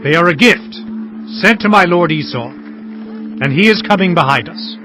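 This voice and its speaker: An actor playing an earnest, humble herdsman patriarch in a dramatized scripture reading, speaking in a measured, solemn way. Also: high-pitched, lively intonation. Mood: instructing, deferential. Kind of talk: dramatized audio scripture acting. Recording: dull lo-fi audio over music.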